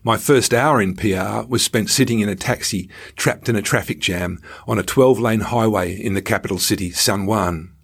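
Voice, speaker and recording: A man narrates a written travel story in a low voice. His delivery is measured, like an author reading his own memoir, with a dry, ironic edge. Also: gravelly, a bit bright, breathy edge.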